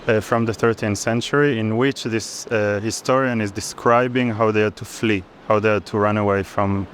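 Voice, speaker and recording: Over a noisy urban background, a man in his thirties speaks in a low voice with an Israeli accent. He explains his art reflectively, halting and searching for the right words. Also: fairly even intonation. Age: 30s. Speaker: artist interviewed. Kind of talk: reflective explanation of own artwork. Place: busy art fair. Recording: clear urban background noise at fair.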